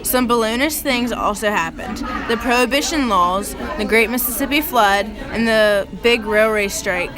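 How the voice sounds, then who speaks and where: A woman's voice: fairly high pitch, normal speed, few pauses, crowd noise. A woman co-hosting a radio show, reading a scripted look back at the decade's events. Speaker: radio co-host. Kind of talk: scripted retrospective announcing.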